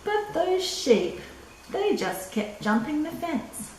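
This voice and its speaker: An older woman reading a picture book aloud to children, deliberate and drawn-out, with little asides. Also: high-pitched, sing-song. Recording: echoey room, distant mic, faint noise.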